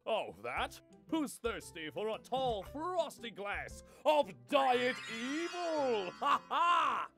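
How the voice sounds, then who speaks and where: A man's voice: very high-pitched, big pitch swings, slow, full character voice. A man voicing a boisterous, cocky muscular superhero in a comedic anime parody dub, with a hammy showman's delivery. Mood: showy, gleeful. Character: muscular adult male superhero, boisterous, cocky, oddly self-conscious. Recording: background music under the voice.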